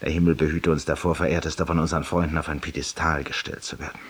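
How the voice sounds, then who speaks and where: A self-satisfied middle-aged man confides in a silent listener in a radio drama. His voice is very low and husky. He speaks in polished, theatrical phrases with an urbane, ironic manner. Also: flat delivery, fast talker. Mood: sardonic. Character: self-satisfied, confessional middle-aged male barroom raconteur.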